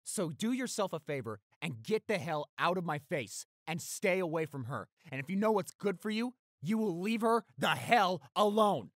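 A man's voice: high voice, lively intonation, moderate pace, few pauses, no room sound, studio quality. A man playing a protective, tender young boyfriend who turns fierce toward bullies, in an intimate audio roleplay. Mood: furious, protective. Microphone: close studio microphone.

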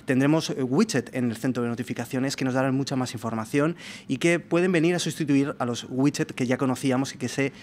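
A young man explains news to camera in the manner of a tech presenter. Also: medium pitch. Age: young adult. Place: meeting room with large window, indoors. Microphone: microphone on a desk arm. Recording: clear background room noise.

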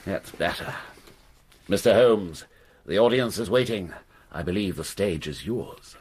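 A husky, pompous stage actor. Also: male voice, deep voice, expressive, measured pace, darkish tone, heavily acted. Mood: mock-courteous. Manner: pompous, theatrical, mock-courteous. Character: grandiose, bitter male stage actor. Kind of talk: radio drama acting.

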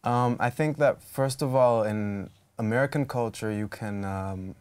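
A man's voice: medium pitch, unhurried, a little mellow, clear, resonant tone. A man in his twenties answering interview questions hesitantly, with many fillers. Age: 20s. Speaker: filmmaker guest.

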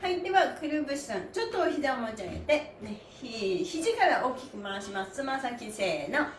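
A woman's voice: sits high, big pitch swings, brisk. A woman leading a live stretching class, cheerful and encouraging, chatting with viewers and chanting counts. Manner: cheerful, encouraging, often laughing. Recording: roomy echo, faint background noise.

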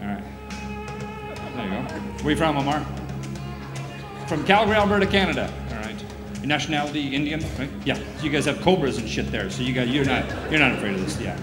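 A man's voice: mid-range voice, animated, fast talker, over music. A man in his thirties, a stage hypnotist, bantering playfully with a volunteer on a theater stage through a handheld mic. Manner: playful, teasing, stammers in mock panic. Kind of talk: live stage show banter. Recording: echoey hall, loud crowd noise.

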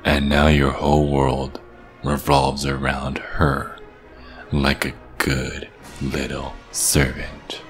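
An actor playing an ancient, menacing and seductive vampire king who taunts slowly in an ASMR roleplay. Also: rumbling low, monotone, soft background music. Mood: seductive menace. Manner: slow, taunting, purring menace.

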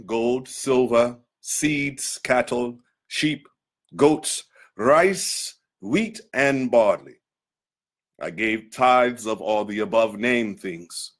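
A man giving a deliberate, solemn first-person reading of a historical autobiography. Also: mid-range voice.

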